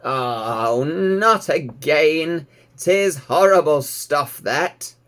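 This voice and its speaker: A man voicing a grumbling but obliging old ewe in a storybook, with a drawn-out, rustic manner. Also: a little high, fairly bright tone, pitched above the performer's own voice.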